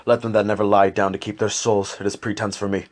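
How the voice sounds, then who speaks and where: A man acting a grave, anguished farmer who is torn by guilt, speaking in halting period drama. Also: lowish voice, flat delivery, a little hiss or hum, lightly acted. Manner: grave, halting, self-accusing.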